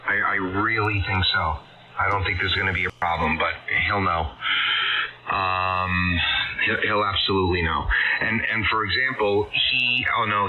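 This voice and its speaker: A man in casual technical conversation over amateur radio, with a reassuring, conversational manner, heard through a shortwave transmission. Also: deep voice. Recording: muffled, noisy ham radio signal.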